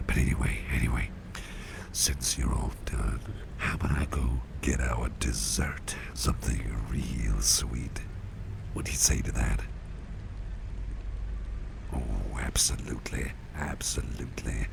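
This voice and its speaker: A man playing a doting, protective businessman boss in an ASMR roleplay, murmuring in a gentle, courteous, breathy whisper with unhurried, reassuring repetition. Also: rumbling low, heavily acted. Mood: pleased, doting. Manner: gentle, unhurried, hesitant pauses, repeats phrases reassuringly. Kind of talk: ASMR roleplay, close personal attention. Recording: audible steady background noise.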